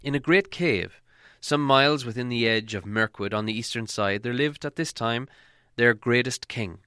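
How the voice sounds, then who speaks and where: A man narrating a fantasy storybook as an audiobook reader, with measured, dramatic delivery. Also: medium pitch, sharp tone, clean tone, dry recording, clean studio sound.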